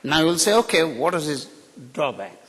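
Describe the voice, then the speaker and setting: A man's voice: on the high side, big pitch swings, moderate pace, fairly bright tone, slight background noise. A middle-aged man from India with a mixed Indian, British and American accent, giving an unscripted, joking tribute speech at a podium microphone. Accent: Indian English with British and American influences. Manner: candid, joking, outspoken. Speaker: guest speaker at a ceremony. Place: large hall. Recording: reverberant hall.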